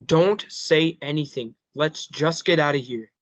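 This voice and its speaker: A man's voice: fairly high pitch, a little slow, no room sound, lo-fi sound, lightly acted. A man reading the part of a cautious, loyal young teenage boy in a table read of a script. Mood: guarded. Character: cautious, loyal fourteen-year-old boy, Gerald.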